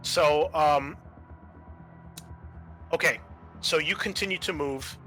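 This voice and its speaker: A man's voice: on the high side, slow, pushed and bright, faint music behind. A man working as game master, casually narrating scenes and talking through rules with some swearing.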